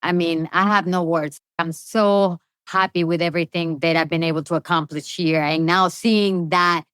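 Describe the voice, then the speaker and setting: A woman's voice: fairly low pitch, fairly even intonation, moderate pace, a bit bright, smooth, no room sound. A middle-aged woman with a Venezuelan accent, a native Spanish speaker, talking casually and at length as a podcast guest. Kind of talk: casual interview conversation.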